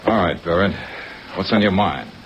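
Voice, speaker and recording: An actor playing a wry, hard-boiled American investigator in an old radio drama, clipped and sardonic. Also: male voice, deep voice, moderate pace, very noisy. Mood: curt. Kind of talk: 1950s radio drama narration and dialogue. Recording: muffled old radio recording.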